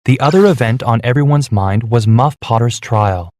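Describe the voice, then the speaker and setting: A man's voice: mid-range voice, moderate pace, music in the background. A man narrating a scripted story for children.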